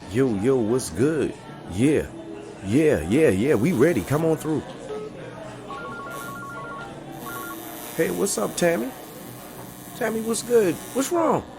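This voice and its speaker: A man's voice: a little high, big pitch swings, a little slow, vocal fry, exaggerated voice. Male actor as neighborhood barber, audio drama: streetwise, loyal, casual and slangy, music underneath. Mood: excited, alarmed. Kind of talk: dramatic audio story acting. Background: background music.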